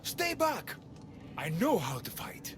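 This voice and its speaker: A man's voice: sits high, huge pitch swings, slow pace, heavily acted. An actor playing a wary, defensive young male refugee in a video game, guarded in manner. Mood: defiant, suspicious. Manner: guarded, suspicious. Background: game soundtrack music.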